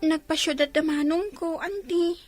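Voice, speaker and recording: A woman's voice: very high-pitched, moderate pace, over music. A woman playing an outspoken young village girl who answers back plaintively in a comedy radio drama. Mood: defiant, answering back.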